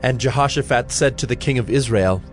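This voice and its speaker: Mid-pitched man narrating over music. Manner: measured, formal reading. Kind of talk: scripted Bible narration.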